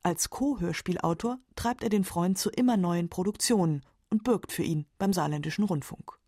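Lady narrating a radio documentary, lowish mellow voice, dry studio sound. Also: moderate pace, dry recording.

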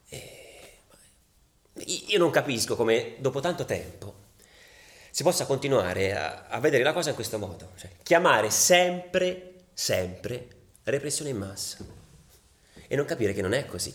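An actor playing a passionate, embittered football ultra in a halting, colloquial confessional monologue for radio theatre. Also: male voice, mid-range voice, close to an everyday voice. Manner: halting, colloquial, dropping to near-whispers.

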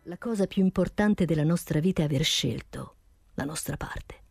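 A woman's voice: low voice, moderate pace, vocal fry, a little mellow, husky, no echo, soft background music, heavily acted. A woman playing a reflective Resistance veteran who gives earnest testimony in a stage monologue.

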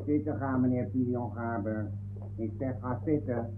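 An actor playing a curt, bossy court usher giving short repeated orders in a 1960s comic radio play. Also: male voice, mid-range voice, fairly even intonation, moderate pace, lightly acted. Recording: noisy narrow-band old radio recording.

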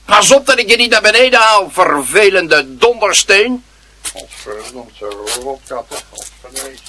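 A male narrator puts on an irate, swearing neighbour in a storybook reading. The voice is high and swoops about, with a sharp, forceful edge and an irritable, snapping delivery. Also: very animated, unhurried, breathy edge, full character voice. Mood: grumbling. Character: angry cursing neighbour snarling at a cat.

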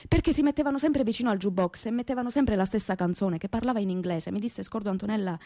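An actress plays a young southern Italian woman of simple background, with a Calabrian accent and a medium-pitched voice. She tells her story as a radio theatre monologue, plain-spoken and naive, in colloquial, dialect-tinged phrases. The sound is dry, with no room around her. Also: fast, mellow, no echo, close to an everyday voice. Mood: confiding, earnest. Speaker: stage actress, narrator.

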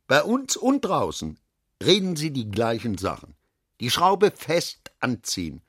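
An actor playing a worldly middle-aged businessman father, lecturing his daughter with emphatic, deliberate phrasing and a husky voice in a literary radio drama. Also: medium pitch, dry recording, studio quality.

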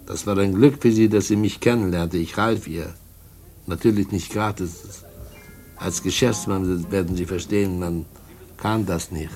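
An actor in a radio drama playing a sly, sinister drug dealer and blackmailer, mockingly polite, smug and cajoling. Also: male voice, low-pitched, fairly even intonation, measured pace, a little mellow. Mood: mocking, threatening. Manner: smug, cajoling, mock-courteous, chuckling. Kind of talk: mid-century German radio drama acting.

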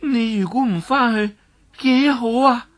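A woman voices a cheeky spoiled young girl in a storytelling recording, coaxing and pouting, her voice a touch high and her lines slow and drawn out. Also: natural voice. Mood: wheedling. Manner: wheedling, pouting, drawn-out pleading. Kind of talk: Cantonese storytelling dialogue.

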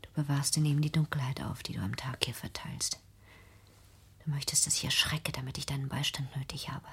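A reclusive grown daughter in a radio drama, haunted by her own home. Her voice is low and very breathy, close to whispering, with an intense, brooding, fearful edge that turns to accusation. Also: fairly even intonation, a little fast, a bit bright, heavily acted. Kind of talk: radio play acting.

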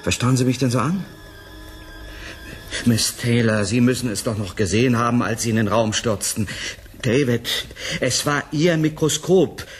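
A man acts an agitated scientist in a science fiction radio play. His delivery is dramatic and emphatic, insistent and rattled, at a middling male pitch, with music in the production. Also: normal speed, natural voice. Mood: urgent, insistent. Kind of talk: 1980s German radio play acting.

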